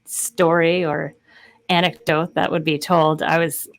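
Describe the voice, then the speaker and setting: A woman's voice: low-pitched, flat delivery, a little slow, creaky. A woman in her thirties telling casual anecdotes as a voice actor guest on a remote panel, close on the microphone. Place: indoor home recording space, video call.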